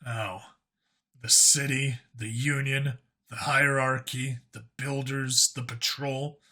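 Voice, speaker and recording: A man's voice: medium pitch, level tone, slow pace, bright, forceful tone. A man voicing an irreverent human cop in a science fiction story, with a casual, sarcastic, grumbling manner. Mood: teasing.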